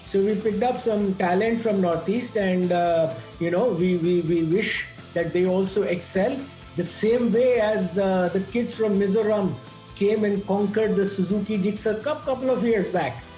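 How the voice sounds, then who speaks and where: A man's voice: high voice, a little slow, warm tone. A man, a motorsport executive, speaking thoughtfully with "uh, you know" fillers. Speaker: motorsport head interviewee. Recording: echoey, with music.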